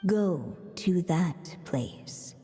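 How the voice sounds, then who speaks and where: Mysterious fairy-tale narrator: lowish, unhurried, poetic, solemnly stately, echoing game voice. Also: female voice, lively intonation, warm tone. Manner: measured, enigmatic, poetic. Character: mysterious, stately female storyteller reciting a fairy-tale riddle. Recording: long cavernous reverb.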